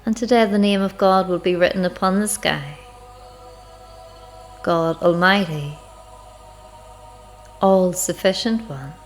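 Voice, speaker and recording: A woman guiding a spiritual meditation in an unhurried, reflective, measured way, with long pauses between phrases. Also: fairly low pitch. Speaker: guided meditation leader. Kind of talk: slow spiritual guided meditation narration. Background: music.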